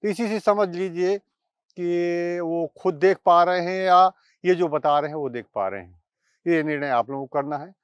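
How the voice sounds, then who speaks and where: Clear-voiced older man. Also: on the high side, moderate pace, dry recording. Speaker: presenter. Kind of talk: explanatory commentary to camera. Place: outdoors by water and trees.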